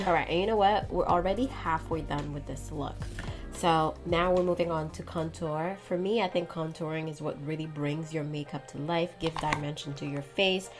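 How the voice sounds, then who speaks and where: A woman's voice: low voice, fairly even intonation, normal speed, soft background music. A woman giving a casual makeup tutorial in a chatty, playful, slangy way. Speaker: beauty vlogger.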